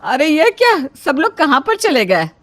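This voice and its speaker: A woman's voice: high-pitched, very animated, a little slow, a bit bright, pitched above the performer's own voice, exaggerated voice. Woman as a coaxing, exasperated cartoon mother. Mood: exasperated pleading. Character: worried, practical middle-aged mother of the bride. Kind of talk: Hindi animated comic story dialogue.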